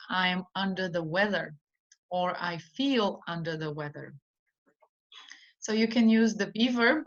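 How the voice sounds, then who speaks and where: A woman teaching an English idiom in an online lesson, speaking patiently with simple explanations and frequent ums. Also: medium pitch. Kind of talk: slow online vocabulary lesson. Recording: dull lo-fi recording.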